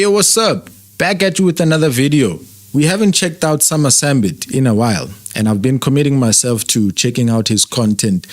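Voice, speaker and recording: A man hosts a casual livestream and reacts to a video. He rambles at an uneven pace, with sarcasm running through his talk. His voice is mid-pitched and sits dry and close on the mic. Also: darkish tone, no room sound. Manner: rambling, sarcastic, loosely paced. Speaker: livestream host.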